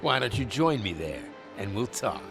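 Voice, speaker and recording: A man's voice: lowish voice, very animated, normal speed, vocal fry, music in the background. An actor playing a smug, sleazy male business owner in a video game, talking in a sarcastic, taunting way.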